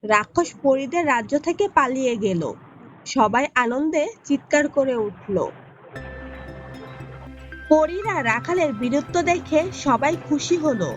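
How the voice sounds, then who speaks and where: A high-pitched woman storyteller narrates a scripted fairy tale. Her pitch swings in a lively way, and music plays softly behind her. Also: measured pace, pauses often, muffled recording.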